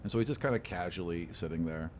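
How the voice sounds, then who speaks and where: A man working as game master, narrating a tabletop game in a casual, halting, descriptive way. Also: low-pitched. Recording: faint background noise.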